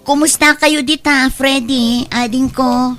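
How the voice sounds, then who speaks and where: A woman's voice: sits high, steady tone, unhurried, over music. A woman playing an earnest, self-sacrificing mother in a radio drama, caring and admonishing, with a Filipino accent. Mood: concerned. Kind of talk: Ilocano radio drama acting.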